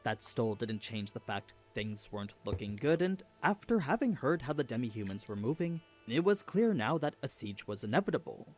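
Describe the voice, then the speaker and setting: A man narrates a scripted story summary in a mid-pitched voice. His intonation moves up and down a lot, giving the recap an animated, storytelling shape. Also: normal speed, hardly any pauses, soft background music.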